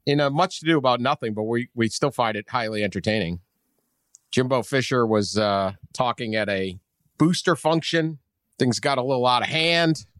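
A man's voice: mid-range voice, moderate pace, a bit bright, no room sound, studio quality. A man with a Boston accent hosting a sports podcast in casual, joking chat. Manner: joking, sardonic. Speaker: podcast host.

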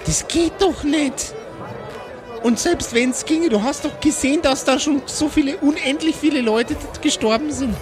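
A woman's voice: sits high, moderate pace, over music, close to an everyday voice. A woman voicing a horrified old lady who reproachfully scolds her little dog in an improvised audio play. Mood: horrified scolding.

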